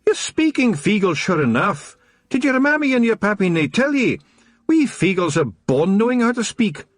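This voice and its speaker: A man voicing a small, wild Scottish fairy chief, a cheeky rogue with a hoarse, whispery voice and a boisterous manner. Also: high voice, sing-song, normal speed, no echo, studio quality, pitched above the performer's own voice. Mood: mocking.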